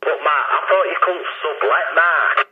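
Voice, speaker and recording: A man's voice: a little high, normal speed. A man chatting casually over a CB radio in a matey, questioning way. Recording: tinny CB radio, faint noise.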